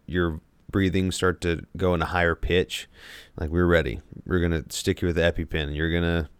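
Deep-voiced man in casual conversation. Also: level tone, normal speed, clear, resonant tone, no echo. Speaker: podcast co-host. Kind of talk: casual chat, personal anecdotes.

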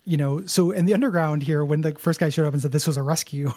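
Un homme à la voix de ténor, chat décontracté. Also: male voice, fast, darkish tone, dry recording. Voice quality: medium-high tenor. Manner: rambling, filler-heavy, retells scenes with quoted lines. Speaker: podcast co-host. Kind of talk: casual chat recapping a cartoon episode.

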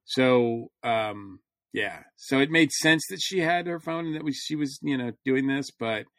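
A man's voice: mid-range voice, unhurried, fairly bright tone, no echo, clean studio sound. A man in casual, rambling conversation as a panelist on a review podcast.

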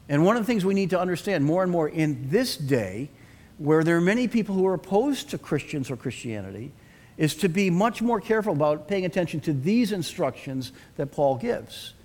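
A man's voice: on the high side, a little mellow. A middle-aged male pastor preaching an earnest sermon and asking the congregation to respond.

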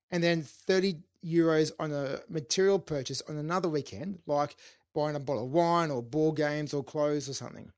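A man with a fairly high voice talks through his podcast episode on his own, upbeat and informal. He sounds slightly hoarse, as if losing his voice. The sound is dry and close to the mic. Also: dry recording. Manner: upbeat, informal, with fillers and restarts. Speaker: podcast host. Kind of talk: solo podcast monologue.

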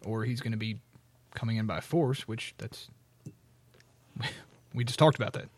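A man's voice: on the low side, unhurried, slightly rough. A man taking part in a podcast, chatting casually. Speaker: podcast participant. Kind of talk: casual chat.

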